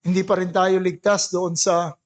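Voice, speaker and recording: A Filipino-accented man delivers a sermon in a strikingly high-pitched voice. He speaks as a pastor, phrasing deliberately and emphatically, and puts rhetorical questions to his listeners. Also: flat delivery. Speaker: pastor preaching. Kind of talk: sermon mixing English and Tagalog.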